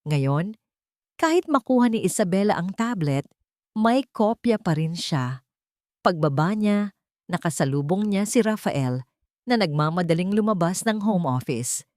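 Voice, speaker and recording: A woman's voice: on the low side, lively intonation, a little slow, a little mellow, no echo, studio quality. A woman narrating a scripted dramatic story as its storyteller.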